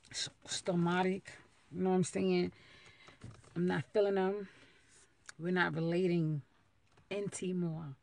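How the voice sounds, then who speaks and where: A woman's voice: fairly low pitch, darkish tone, dry recording. A middle-aged woman, chatting playfully to her phone camera at home and in a car. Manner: playful, talkative, sing-song asides. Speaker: vlogger. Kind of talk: casual chat to camera. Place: living room at home, then parked car. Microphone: phone camera held close.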